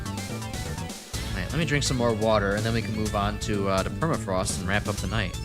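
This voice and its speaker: A man's voice: on the low side, normal speed. A congested man giving casual, rambling gameplay commentary as a streamer, full of fillers and restarts. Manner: rambling, self-correcting, frequent fillers. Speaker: gaming streamer. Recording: game music in background. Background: game music.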